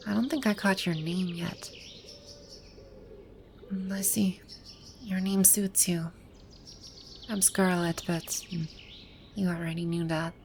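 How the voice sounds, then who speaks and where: Slow-spoken, haughty, flustered boss villainess acted by a woman. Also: mid-range voice, gravelly, slight background noise. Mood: softened, grateful. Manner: bossy, stammering, halting. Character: haughty, flustered female video-game boss brought to life. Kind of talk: ASMR roleplay audio drama.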